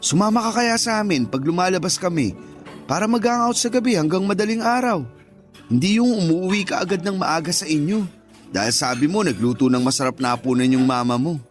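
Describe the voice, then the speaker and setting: Higher-voiced, teasing male buddy. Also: expressive, a little fast, faint music behind. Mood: pushy, teasing. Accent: Filipino. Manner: joking, nagging, coaxing. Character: teasing, pushy married male best friend in his thirties. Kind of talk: radio drama dialogue.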